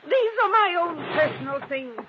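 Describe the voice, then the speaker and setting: A defensive housekeeper, old radio mystery, pleading and formal, dull recording with noise. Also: female voice, sits high, lively intonation, normal speed. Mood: indignant, panicked. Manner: agitated, protesting, formal. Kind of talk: 1950s radio mystery acting. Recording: dull vintage radio recording, clear hiss.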